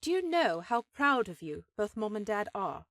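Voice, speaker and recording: A woman's voice: mid-range voice, sing-song, moderate pace, a bit bright, no room sound, clean studio sound. A woman playing a powerful elven sorceress in a fantasy audio drama, an encouraging sister who talks in an earnest, affectionate way.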